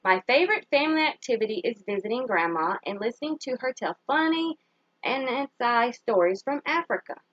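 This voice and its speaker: An eager, cheerful little schoolgirl from a storybook, as a woman reader plays her, high and lively, earnest with her family. The sound is slightly dull. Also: brisk, dry recording.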